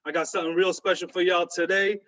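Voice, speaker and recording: A man's voice: high-pitched, flat delivery, fast talker, bright, forceful tone. A man hosting an interview over a video call, hyped and slangy, calling his guest "my brother". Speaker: interview host. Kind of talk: casual interview. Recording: dull lo-fi video call audio.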